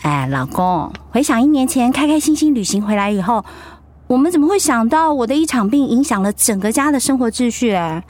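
An actress playing an outspoken, doting middle-aged wife in a radio drama, with a Taiwanese Mandarin accent. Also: female voice, fairly high pitch, sing-song, a little fast, darkish tone. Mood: gently persuasive.

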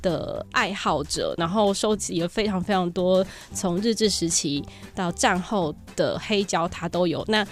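A woman's voice: fairly low pitch, measured pace, few pauses, soft background music. A woman with a Taiwanese Mandarin accent, hosting a podcast in a conversational way. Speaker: podcast host. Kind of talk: conversational podcast introduction and banter.

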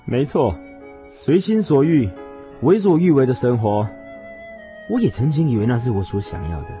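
Radio drama husband, played by a man: earnest and obsessed, highish, very animated delivery, theatrical and yearning, over music. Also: normal speed, close to an everyday voice. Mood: earnest, reflective. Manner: theatrical, swinging from hushed reflection to fervent declaration.

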